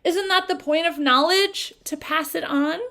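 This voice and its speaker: A woman's voice: very high voice, a little slow, sharp tone, voice pushed up, heavily acted. A woman voicing a bold, unafraid young woman in a storybook, speaking in a dry, direct way. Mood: challenging. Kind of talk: storybook read-aloud.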